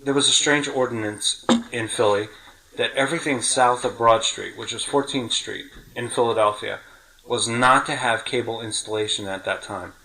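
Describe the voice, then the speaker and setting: Bright-voiced man reminiscing, talkative. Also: mid-range voice, normal speed, rough recording. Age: middle-aged. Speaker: podcast host. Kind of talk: casual reminiscing chat.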